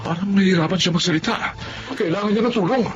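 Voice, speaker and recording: An actor playing a dutiful young working student, thinking aloud earnestly in a Filipino radio drama. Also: male voice, sits high, racing, muffled recording, over loud music, lightly acted. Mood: alarmed.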